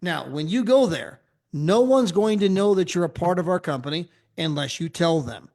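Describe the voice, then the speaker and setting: A man from the United States giving an energetic travel club sales pitch, often checking in with "right?" and "okay?". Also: normal speed.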